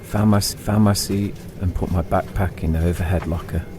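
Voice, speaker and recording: A middle-aged English man tells an autobiographical story in a reflective way, phrasing it deliberately in short lines. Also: low voice, steady tone, background noise. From England. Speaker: podcast host and narrator. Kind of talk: autobiographical storytelling, scripted narration. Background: instrumental music.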